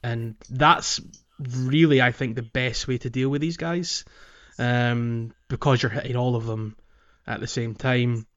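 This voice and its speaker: A man's voice: medium pitch, steady tone, unhurried, hardly any pauses, fairly bright tone, dull audio. A man hosting a podcast, casually discussing a video game and thinking aloud as he talks. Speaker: podcast host.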